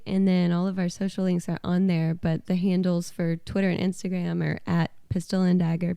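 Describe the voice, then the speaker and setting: Mellow, creaky woman. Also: lowish voice, no echo, studio quality. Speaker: musician guest on a podcast. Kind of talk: casual chat.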